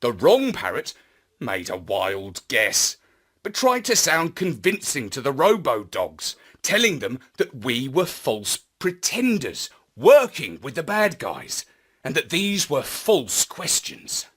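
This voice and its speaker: A man narrating a children's story in a theatrical, deliberate, expressive manner. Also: medium pitch, husky. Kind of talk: scripted children's story narration in first person.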